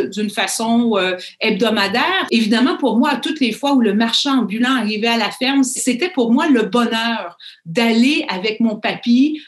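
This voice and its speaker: Québécois woman author, fairly high voice, thinks out loud, echoey room. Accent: Québécois French. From Canada. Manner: reflective, with frequent restarts. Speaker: children's author and pilot guest. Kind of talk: interview answers.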